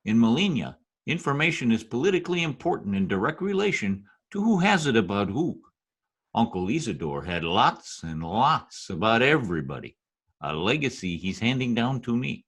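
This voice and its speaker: A man acting as a scheming, politely menacing political climber in a radio drama, formal and insinuating, with a husky voice. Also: medium pitch, big pitch swings, moderate pace, fairly bright tone.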